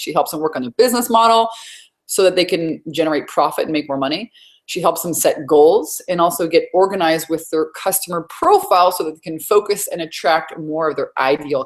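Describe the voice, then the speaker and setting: A woman hosting an online webinar, introducing her guest in a bubbly, enthusiastic way. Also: fairly low pitch. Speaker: webinar host. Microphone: online webinar call.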